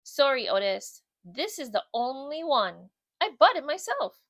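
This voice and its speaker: A woman's voice: high voice, very animated, unhurried, fairly bright tone, no echo, studio quality, full character voice. A woman voicing a sweet, determined female bulldog in a children's storybook, speaking in an earnest, emphatic way. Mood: proud, cheerful.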